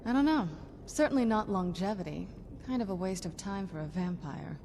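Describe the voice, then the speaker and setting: A woman voicing a shrewd, composed young female vampire necromancer in a video game, speaking in a measured, dry, musing way. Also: medium pitch, animated, darkish tone, slight background noise, natural voice. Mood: musing, intrigued. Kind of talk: video game character dialogue.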